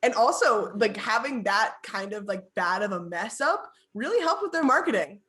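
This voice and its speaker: A young woman in casual podcast chat, laughing often and talking in quick bursts. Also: medium pitch, animated, fairly bright tone. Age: young adult. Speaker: podcast co-host.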